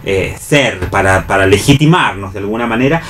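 A man's voice: on the low side, animated, fairly quick, fairly bright tone. Argentine man analysing at length, noisy recording. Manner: expository, repeats and rephrases phrases. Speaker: radio show panelist. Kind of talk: analytical round-table discussion.

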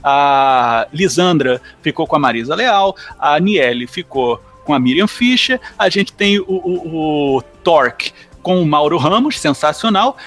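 A Brazilian man with a notably high voice talks as a podcast panelist. His manner is informal and enthusiastic, in the style of a casual group chat. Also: lively intonation, unhurried, a bit bright, faint music behind.

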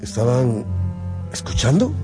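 Scheming cardinal, lively intonation. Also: male voice, medium pitch, measured pace. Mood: composed, probing. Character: scheming, composed cardinal, authoritative powerful man. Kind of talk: radio drama acting. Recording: music behind the voice.

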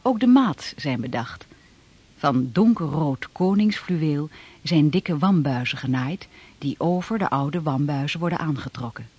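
A woman reading scripted narration for a historical radio drama in a husky voice. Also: sits low, lively intonation, measured pace, darkish tone.

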